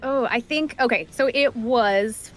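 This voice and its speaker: A middle-aged woman voice actor chatting casually as an interview guest, animated in her storytelling and acting out quoted remarks. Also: a little high, a little slow. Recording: music playing behind the voice. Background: background music.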